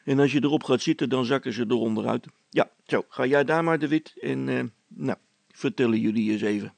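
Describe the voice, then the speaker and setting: A man's voice: medium pitch, brisk, gravelly, darkish tone, dry recording, natural voice. A man voicing a good-natured, proud village policeman with a folksy, rambling, hesitant way of talking in a comic story. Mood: jovial. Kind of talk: character voice in a read-aloud comic story.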